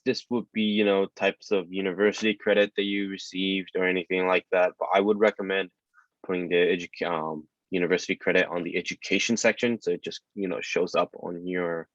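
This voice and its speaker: A man presenting a workshop tutorial in a relaxed, casual way, with lots of ums and you-knows. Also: sits low, no room sound. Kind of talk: casual screen-share tutorial. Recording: dull dry lo-fi audio.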